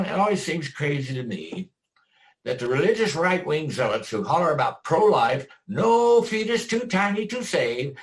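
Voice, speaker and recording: An actor playing an aging veteran stage actor, wry, profane and theatrical. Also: male voice, on the high side, rough recording. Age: older. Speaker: stage actor in a play reading. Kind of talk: stage play reading.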